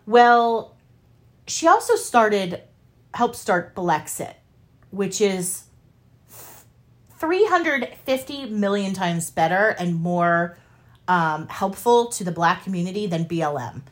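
A woman's voice: medium pitch, lively intonation, slow, pauses often. Woman co-hosting casual political chat. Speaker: podcast co-host.

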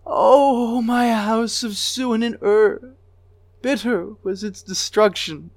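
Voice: mid-range voice, slow pace, no room sound. A reader voicing a grieving goddess queen of a ruined city, lamenting with a voice that breaks into sobs. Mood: wailing grief. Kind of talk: dramatic recitation of an ancient lament.

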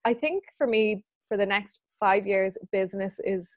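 A woman's voice: medium pitch, normal speed, dry recording, clean studio sound. A woman in her thirties who runs a business, answering interview questions in a chatty, earnest way and laughing often. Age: 30s. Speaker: business owner guest.

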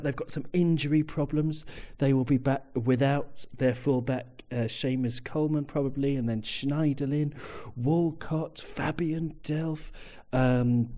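A man's voice: medium pitch, dark tone. A man co-hosting a casual football chat, rambling with wry humor. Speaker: podcast co-host.

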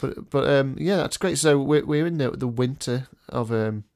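A man's voice: mid-range voice, normal speed, darkish tone. Bunged-up man hosting a podcast. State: blocked nose from a cold. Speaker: podcast host. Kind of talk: casual podcast chat with a scripted intro.

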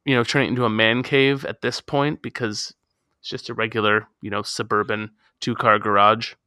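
Man in his thirties, rambling casual talker, bright forceful tone, dry close sound, home garage. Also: fairly low pitch, little pitch movement, a little fast, dry recording. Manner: rambling, self-deprecating, chatty. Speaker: podcast co-host. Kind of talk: casual chat.

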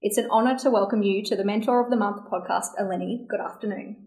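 A woman with a mid-pitched voice hosts an interview podcast. Her phrasing is formal and careful, like a prepared introduction. The sound is roomy, with clear echo around her words. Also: fairly even intonation, quick pace, a little mellow. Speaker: podcast host interviewing a guest. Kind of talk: scripted introduction and interview questions.

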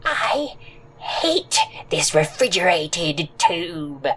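Man playing a venomous, slow-talking old woman customer. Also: fairly high pitch, very animated, a bit bright, breathy edge, pitched above the performer's own voice, heavily acted. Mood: bitter complaining. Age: older. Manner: venomous, grandiose complaining, dramatic insults. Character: decrepit, cranky, homicidal-looking old woman customer. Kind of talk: audio drama character acting.

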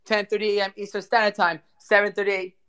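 A mid-pitched voice hosting a live audio show. The delivery is informal and slangy, with effusive praise and a loose, chatty flow. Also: flat delivery, quick pace, fairly bright tone, clear, resonant tone. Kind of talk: casual chat hosting.

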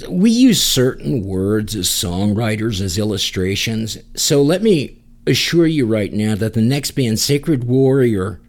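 A man's voice: on the low side, very animated, unhurried. A man hosting a music show, introducing songs casually in a joking way as he talks straight to the listener. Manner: joking, addresses listeners directly. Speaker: podcast host and music DJ.

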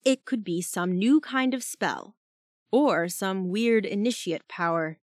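A woman voicing a calm, firm female team leader in an audiobook, soothing and measured. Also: mid-range voice, no room sound, clean studio sound, close to an everyday voice.

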